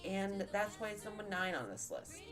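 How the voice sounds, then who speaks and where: A woman's voice: medium pitch, very animated, moderate pace. A woman hosting a casual countdown video, often repeating words and restarting her sentences, with music playing behind her. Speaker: YouTube list-video host.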